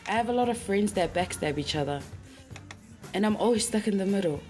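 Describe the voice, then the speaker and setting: A woman's voice: medium pitch, lively intonation, brisk. A woman putting a viewer's question on video, asking for advice. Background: music.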